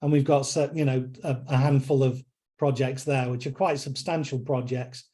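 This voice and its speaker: Brief: mid-pitched man, relaxed host on a video call, upbeat and talkative. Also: moderate pace. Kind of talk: casual video call chat.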